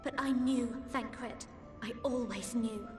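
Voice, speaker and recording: An earnest young girl in a fantasy game, with a high voice that is hesitant yet resolute. Her words ring with hall reverb over faint music. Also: steady tone, takes it slowly. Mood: pleading, resolute. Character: earnest teenage girl, a young seer's ward in a fantasy world. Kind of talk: video game cutscene acting.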